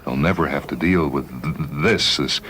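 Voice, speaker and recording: A man playing a weary, dying spaceman in a radio story, halting and breathy, fading toward whispers. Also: a bass voice, a bit bright, slight background noise, pitched below the performer's own voice. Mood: weary, wistful. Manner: halting, repeats words.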